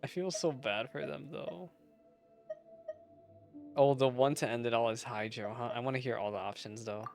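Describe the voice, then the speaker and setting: Very low-voiced woman game streamer. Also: normal speed, gravelly, pauses often, a little mellow, soft background music. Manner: informal, chatty, slangy. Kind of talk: casual live playthrough reaction commentary.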